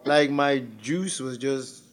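A man's voice: mid-range voice, slow pace, crowd noise. A man co-hosting a podcast in a casual, teasing, jokey way. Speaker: podcast co-host. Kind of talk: casual chat.

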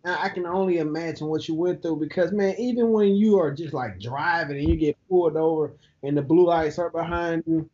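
A man, telling an animated story in a casual podcast chat. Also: a little fast, few pauses, lo-fi sound. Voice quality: high-pitched voice. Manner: animated storyteller who laughs easily.

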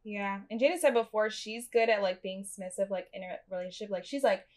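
A young woman co-hosting a casual talk about dating, blunt and animated, swinging between loud and quiet. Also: medium pitch, quick pace. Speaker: podcast co-host.

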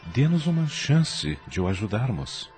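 A man playing a patient, brotherly spirit guide in a radio drama, gentle and soothing as he persuades. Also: mid-range voice, sing-song, measured pace, soft background music. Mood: soothing, caring.

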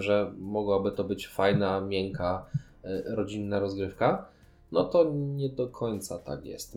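Podcast host, male, lowish voice, wordy and explanatory, conversational review delivery. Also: warm tone. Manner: explanatory, wordy, long sentences. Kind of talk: conversational board game review.

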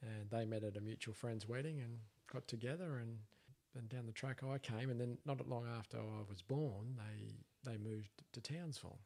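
A man's voice: mid-range voice, normal speed, vocal fry, darkish tone. A man with an Australian accent co-hosting a podcast, earnestly asking his guest questions in casual chat. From Papua New Guinea. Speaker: podcast co-host, interviewer.

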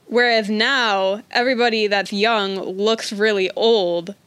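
A woman's voice: mid-range voice, steady tone, unhurried, a bit bright, clean tone, dry recording. A woman of twenty, a podcast host, chatting casually and rambling with lots of "like". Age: 20s. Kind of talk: casual chat.

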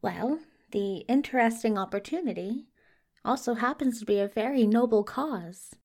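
A woman's voice: on the high side, moderate pace, darkish tone. A woman voicing an anxious, courtly male messenger who speaks with fussy, flustered politeness, eager to please, in an audio drama. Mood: earnest. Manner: flustered, placating, genteel phrasing. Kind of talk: multivoice podfic audio drama acting.

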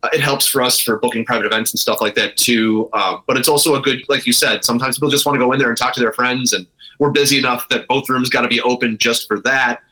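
A man's voice: medium pitch, steady tone, fast, sharp tone, poor audio. A man talking casually and enthusiastically as an interview guest, emphatic and free with swearing. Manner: self-described nerdy. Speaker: guest, brewery co-owner.

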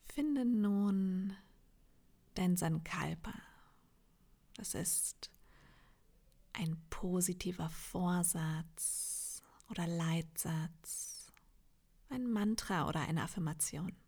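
A woman leading a guided meditation in a soft, half-whispered, soothing voice, leaving long pauses between phrases. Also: on the low side. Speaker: yoga nidra meditation guide.

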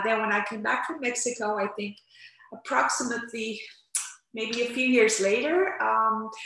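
A woman raised in the Netherlands, answering interview questions in an animated, storytelling way with frequent fillers. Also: mid-range voice. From Turkey, raised in the Netherlands. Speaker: interpreter and language coach guest. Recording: echoey room.

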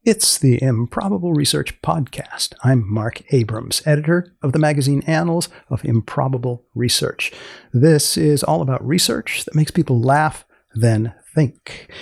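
A man hosting a podcast in a casual way, asking questions in a gravelly, husky voice. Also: medium pitch, a little mellow. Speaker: podcast host and magazine editor.

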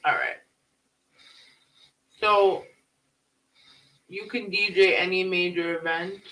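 High bright-voiced man hosting a podcast. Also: steady tone, slow pace, rough recording. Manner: teasing, asks questions. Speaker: podcast host. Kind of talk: casual podcast chat.

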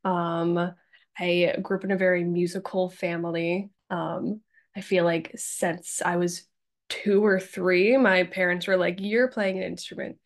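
A young woman from Massachusetts speaks as an interview guest in a relaxed, chatty way. Her voice sits fairly low and has a gravelly, fry-laden edge. She fills her talk with ums and likes as she goes. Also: a little slow. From Massachusetts, Cape Cod. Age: young adult. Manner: chatty, many ums and likes, laughs easily. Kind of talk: casual interview.

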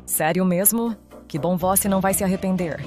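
Woman acting Ana, a low-voiced young student politician. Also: a little fast, dark tone, faint music behind, close to an everyday voice. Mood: pleased. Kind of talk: amateur audio drama acting.

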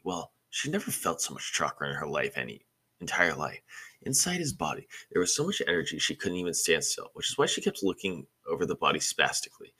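A man's voice: sits low, pushed and bright, husky. A man narrating a story in an amateur way, sometimes stumbling and correcting himself. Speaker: narrator of a fan fiction podcast.

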